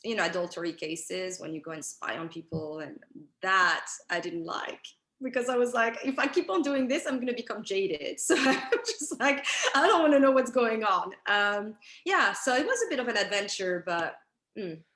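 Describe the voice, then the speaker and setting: A woman with a French accent carrying British traces, a native French speaker and actress telling stories in a chatty, animated way as an interview guest. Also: medium pitch, normal speed. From France. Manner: animated, chatty, lots of fillers and quoted dialogue. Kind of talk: casual interview storytelling. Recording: roomy echo.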